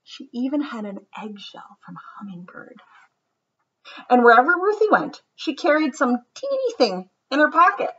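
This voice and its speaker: A woman giving a gentle, reflective introduction, then reading a children's book aloud. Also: high voice, sing-song, moderate pace, breathy edge. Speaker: read-aloud presenter. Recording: slightly muffled recording.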